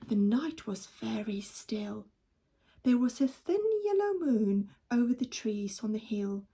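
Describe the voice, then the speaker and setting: A woman reading a children's story aloud as a teacher would, in a measured, expressive storytelling manner. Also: on the high side, slightly rough.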